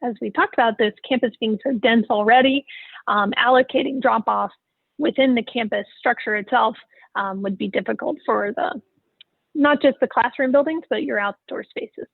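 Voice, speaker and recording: A woman's voice: fairly high pitch, normal speed, gravelly, no room sound. A woman presenting plans at an online public meeting, over thin phone-like call audio. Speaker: facilities planning presenter.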